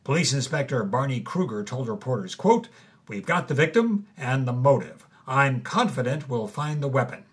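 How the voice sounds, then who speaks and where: A man's voice: medium pitch, expressive, normal speed, breathy edge. A man co-hosting and narrating a story, reading old headlines aloud with wry relish. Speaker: co-host narrator. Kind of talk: scripted narration with chatty asides.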